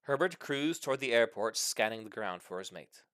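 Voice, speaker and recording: A man's voice: on the low side, lively intonation, normal speed, a bit bright, dry recording, studio quality. A man reading a funny short story aloud as scripted narration, with theatrical, mock-serious emphasis. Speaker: story reader.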